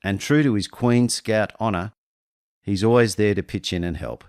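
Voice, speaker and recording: A man narrating a scripted tribute to his father, in a measured, list-like delivery. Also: on the low side, darkish tone, clean tone, dry recording, clean studio sound. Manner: measured, listing slowly. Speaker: narrator, son paying tribute.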